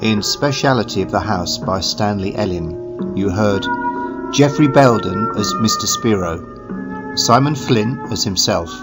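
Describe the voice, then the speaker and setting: A man's voice: on the low side, unhurried. A man reading scripted closing credits as an announcer for a radio drama. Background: prominent music.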